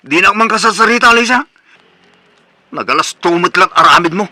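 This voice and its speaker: A man's voice: high-pitched, normal speed, sharp tone, no room sound. A Filipino man playing an irritable young bachelor son in a comedic radio drama, curt and scolding. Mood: exasperated.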